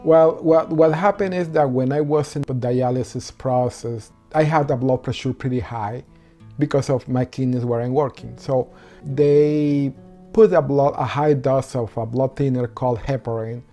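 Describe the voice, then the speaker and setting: A man with a Venezuelan accent answers interview questions in careful, halting English. His voice is mellow and relaxed, and a faint guitar plays softly behind him. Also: mid-range voice. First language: Spanish. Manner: halting non-native English with many ums and restarts. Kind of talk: personal story interview.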